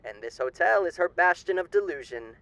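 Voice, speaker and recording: A man's voice: high-pitched, expressive, brisk, gravelly, a bit bright, no echo, exaggerated voice, low-quality recording. A man playing a suave, sinister demon with a theatrical, condescending manner and old-fashioned genteel endearments in a roleplay monologue. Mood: gleefully cynical.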